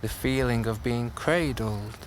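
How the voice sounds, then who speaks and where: A man teaching a guided meditation, speaking gently in short phrases with long pauses. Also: mid-range voice. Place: outdoors. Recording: outdoor class with clear background noise.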